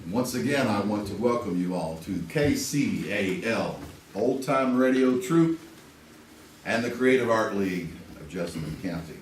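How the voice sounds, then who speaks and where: A man giving a slow, staged welcome as master of ceremonies for a theatre troupe. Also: lowish voice, darkish tone. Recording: echoey hall, distant, faint noise.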